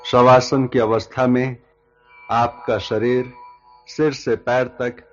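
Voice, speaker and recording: A man leading a guided yoga nidra relaxation as instructor, giving measured instructions in short phrases with long pauses. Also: mid-range voice, level tone, muffled recording. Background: background music.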